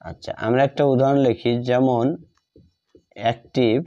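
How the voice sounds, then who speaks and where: A man with a Bengali accent teaches grammar as if dictating, deliberately repeating phrases piece by piece. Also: mid-range voice, little pitch movement, mellow. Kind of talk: dictated grammar lesson, written out step by step. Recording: dull lo-fi microphone sound.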